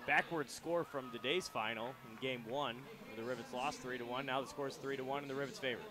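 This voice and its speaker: A young man giving relaxed, chatty radio color commentary on a baseball game from a ballpark booth. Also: mid-range voice, fast talker. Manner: casual, chatty, many fillers and restarts. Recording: crowd noise from the ballpark.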